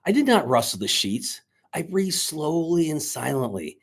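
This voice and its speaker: A man speaking to schoolchildren as their teacher, reading a storybook aloud in an expressive, dramatic way. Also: a little high, normal speed, slightly rough. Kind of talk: children's storybook read-aloud and chat to pupils. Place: indoors, recorded remotely on video.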